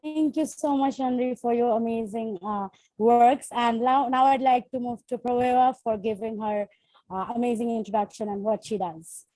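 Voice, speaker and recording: A woman's voice: a little high, fairly even intonation, normal speed, mellow, dry recording, poor audio. A woman from Bangladesh moderating a panel, making polite, formal introductions.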